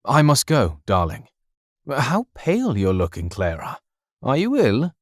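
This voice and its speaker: An actor playing a cheerful, gallant young Victorian naval officer with a hearty, tender, theatrical manner in an audiobook drama. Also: male voice, mid-range voice, wildly swooping pitch, measured pace, no room sound, clean studio sound. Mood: tender concern.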